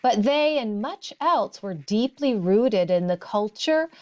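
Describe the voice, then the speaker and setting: A woman reading a textbook aloud as a teacher, in a deliberate, instructional way. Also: a little high, animated, dull audio. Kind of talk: read-aloud of story and textbook.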